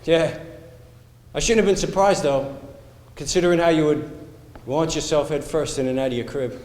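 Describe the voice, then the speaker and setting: Man acting as a loving earnest dad, slightly high voice, stage play, echoey hall, faint noise. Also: normal speed, darkish tone, close to an everyday voice. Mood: fond, animated. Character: earnest, loving parent advising grown daughter. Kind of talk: live stage play dialogue. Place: theatre stage, recorded from a distance.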